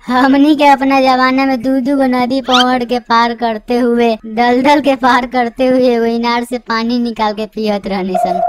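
Man playing a high-voiced grumbling old cartoon grandfather. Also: slight background noise. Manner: scolding, boastful. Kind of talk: amateur comic cartoon dubbing.

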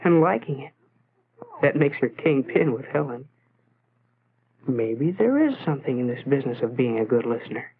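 An actor playing a lonely, self-doubting teenage schoolboy, musing to himself in a brooding way in a 1940s educational film style, on a tinny, noisy soundtrack. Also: male voice, medium pitch, sing-song, normal speed, long pauses. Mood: pondering. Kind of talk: 1940s educational film inner-monologue narration.

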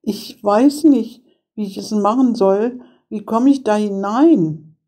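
A woman's voice: mid-range voice, takes it slowly, dark tone, voice pushed up. A woman voicing a frightened, tearful young girl in a fairy tale, plaintive and pleading. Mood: helpless. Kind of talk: fairy-tale storybook character voice.

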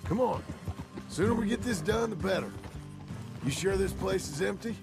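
A sour American outlaw in a game, impatient and disillusioned. His voice is high for a man yet full of gravel, with sardonic swings and loud music underneath. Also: normal speed, poor audio. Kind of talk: video game dramatic acting.

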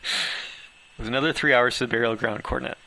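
A man's voice: mid-range voice, steady tone, brisk, creaky, pushed and bright, poor audio. A man reading his own story aloud as amateur first-person narration, occasionally stumbling over words.

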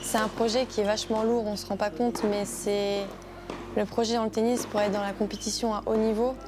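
A woman's voice: medium pitch, level tone, a little fast, background noise. A woman in her twenties, a tennis player, talking personally in a documentary interview. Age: 20s. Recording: music bed under the voice.

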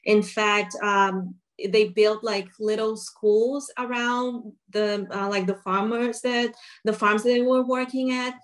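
A woman's voice: mid-range voice, fairly even intonation, slow pace. A woman in her thirties from Brazil, a native Portuguese speaker with a Brazilian accent, explaining casually. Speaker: panelist. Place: indoor room on video call.

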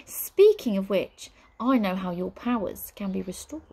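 A woman's voice: medium pitch, lively intonation, a little slow, gravelly, warm tone, husky, full character voice. A woman voicing a sly, mischievous witch in a storybook, drawling with airy, mock-sweet theatricality. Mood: smug.

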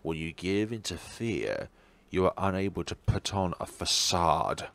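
A man's voice: deep voice, big pitch swings, measured pace, creaky, a bit bright. A man reading a visual novel's narration aloud as a let's player. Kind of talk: narration read aloud for a game playthrough.

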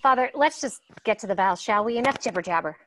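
A woman's voice: medium pitch, expressive, fast, fairly bright tone, a little hiss or hum, close to an everyday voice, low-quality recording. A woman reading the part of a tough, threatening female prison inmate at her own wedding, in a table read. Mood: menacing. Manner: bossy and threatening.

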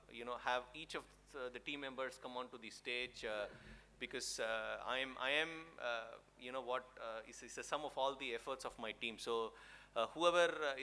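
Halting, bright-voiced man at a podium. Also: medium pitch. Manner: halting, many fillers and restarts; louder and more raised toward the end. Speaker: event organizer giving a thank-you speech. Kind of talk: speech at an awards event. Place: large stage in an indoor auditorium. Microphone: stand mic at a podium.

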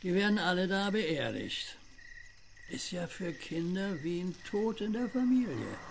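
An actor playing a friendly, knowing old country neighbour in a radio play, gravelly and colloquial. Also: male voice, high voice, big pitch swings, a little slow, background noise, exaggerated voice. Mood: folksy, relaxed. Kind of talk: German radio play acting.

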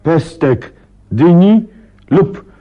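An actor playing an earnest, curious male companion to a detective in a radio drama, measured when reading aloud. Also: fairly high pitch, expressive, heavily acted. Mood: reading, absorbed. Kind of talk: Polish radio drama acting. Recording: dull, muffled old radio recording.

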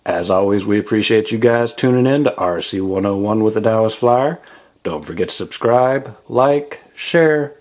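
Low-voiced man reviewing gear. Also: lively intonation, normal speed, a little mellow. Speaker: product reviewer and channel host. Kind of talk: casual product review voice-over.

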